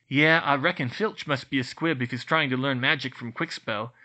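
A man's voice: mid-range voice, moderate pace, sharp tone, lo-fi sound, natural voice. A man voicing a blunt, cheeky schoolboy wizard.

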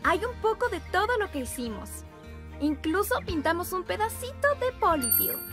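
A woman voicing a spirited, excitable young girl in a children's cartoon, with a bubbly, expressive, playful delivery. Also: very high voice, normal speed, exaggerated voice. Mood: pleased. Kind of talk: animated children's cartoon dubbing. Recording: music behind the voice.

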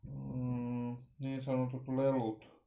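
Man in his forties, mellow tone, halting think-aloud unboxing at his desk webcam. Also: mid-range voice, monotone, creaky. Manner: halting, thinking aloud with many fillers and restarts. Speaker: vlogger unboxing radios. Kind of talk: casual unboxing commentary. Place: small room at home with shelves.

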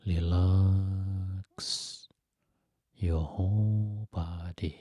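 Very slow, low-voiced man guiding meditation. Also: steady tone, no echo, clean studio sound. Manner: gentle, soothing, long pauses between short phrases. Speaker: Buddhist monk teaching meditation. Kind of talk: guided meditation.

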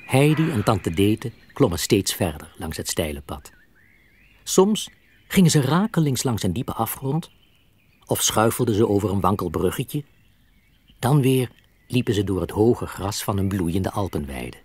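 A man narrating a scripted children's story as an expressive storyteller. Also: fairly low pitch, unhurried, a little hiss or hum.

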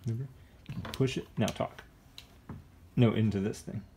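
A man's voice: lowish voice, normal speed, vocal fry. A middle-aged man chatting casually at home, patiently giving instructions like a parent. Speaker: parent coaching children. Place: living room at home, indoors. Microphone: behind a handheld phone camera. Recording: some room noise, home phone video.

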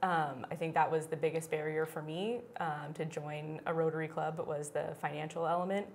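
A woman's voice: sits low, flat delivery, normal speed, creaky, darkish tone, slight background noise. A woman around thirty answering interview questions in a TV studio, chatty and full of "um" fillers. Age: 30s. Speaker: interview guest. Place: small TV studio set.

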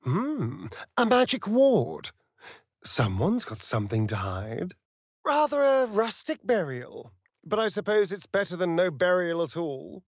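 An actor playing a sly, vain vampire rogue in a video game, with a theatrical, arch, drawling delivery. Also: male voice, high voice, sing-song, a little slow, no room sound, clean studio sound, heavily acted. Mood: arch, scheming.

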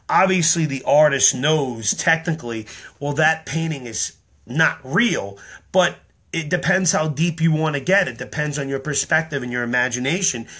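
Man in his thirties, a little hoarse and tired, rambling earnestly to a close webcam in a small room. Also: mid-range voice, sharp tone. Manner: earnest, rambling explanation with many ums. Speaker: online performer explaining his act. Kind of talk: casual talk to camera. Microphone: webcam or phone at close range.

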